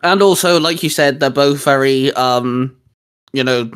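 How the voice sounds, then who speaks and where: A man's voice: mid-range voice, measured pace, a bit bright, smooth. A man hosting a fan discussion show in an enthusiastic, emphatic presenting manner. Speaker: host. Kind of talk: scripted intro, then casual fan discussion.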